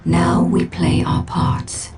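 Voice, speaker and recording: An actress playing a calm, cunning alien farseer who commands in a measured, solemn, cryptic way in a video game. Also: female voice, on the low side, a little hiss or hum. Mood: calm, foreboding.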